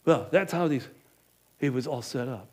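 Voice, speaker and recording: A man playing a businesslike village innkeeper in an animated first-person monologue, emphatic and speaking straight to the audience. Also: mid-range voice, normal speed, close to an everyday voice. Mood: self-justifying. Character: businesslike male village innkeeper, proud then guilty.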